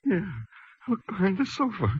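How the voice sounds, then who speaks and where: A man's voice: high voice, very animated, moderate pace, breathy edge, full character voice. A man playing a panicked killer in a 1940s radio drama, distraught and stammering as he repeats his confession. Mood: frantic, broken. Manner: stammering, repeating himself frantically. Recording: muffled old radio recording.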